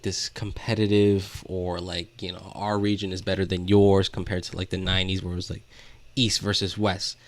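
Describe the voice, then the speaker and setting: A man's voice: low voice, a bit bright. A man co-hosting a podcast, talking casually and rambling through his thoughts with lots of "like" as filler. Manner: rambling, hesitant, heavy use of "like". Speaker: podcast co-host. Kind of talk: casual music discussion.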